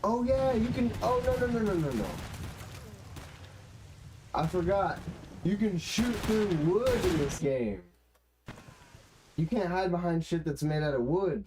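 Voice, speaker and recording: A man giving casual, rambling live commentary as a game streamer, muttering and swearing to himself with a stuffy-sounding nose. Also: a little high. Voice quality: stuffy-nosed. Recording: gunfire and game noise behind voice. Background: video game gunfire and ambience.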